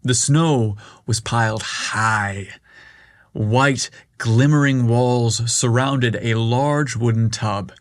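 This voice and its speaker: Slow, measured male storyteller. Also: mid-range voice, no room sound, clean studio sound. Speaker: story narrator. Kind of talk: scripted story narration.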